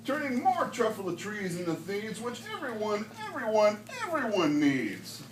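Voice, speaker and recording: A man's voice: high voice, sing-song, normal speed. A man voicing a stubborn old businessman in a storybook, speaking emphatically and dramatically. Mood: angry, defiant. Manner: emphatic, dramatic, repeats words for effect. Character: defiant, greedy, later regretful old businessman, the Onceler. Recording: roomy, distant mic, faint background noise.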